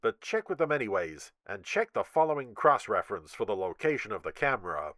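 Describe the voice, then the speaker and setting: Man as a businesslike young rescue pilot, lively pitch. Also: a little fast, no echo, studio quality. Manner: businesslike, reporting. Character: calm, dutiful young male rescue pilot. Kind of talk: audio adventure story reading.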